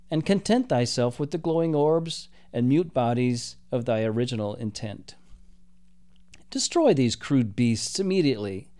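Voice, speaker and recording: A man's voice: mid-range voice, dark tone, natural voice. Male reader playing haughty archangel; formal, scornful, near his own voice.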